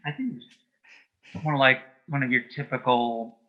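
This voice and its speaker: Muffled-sounding man giving measured answers. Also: medium pitch. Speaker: interview guest, author and playwright. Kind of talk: conversational interview answers. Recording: dull, band-limited sound.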